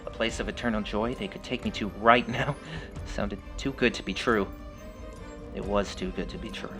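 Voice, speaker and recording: A man's voice: on the low side, fairly even intonation, moderate pace. A man reading a scripted first-person horror story as its storyteller. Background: music.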